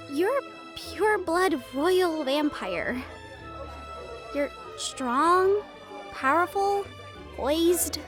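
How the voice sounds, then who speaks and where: Woman acting high, lilting, affectionate young fiancée. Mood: earnest, defiant. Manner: affectionate, expressive, chatty. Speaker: audio roleplay voice performer. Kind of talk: intimate scripted audio roleplay addressed to the listener.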